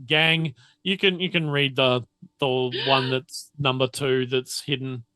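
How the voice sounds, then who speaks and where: A man's voice: medium pitch, fairly even intonation, takes it slowly, sharp tone, dry recording. A man narrating a tabletop roleplaying game as game master, hesitantly thinking aloud as he goes.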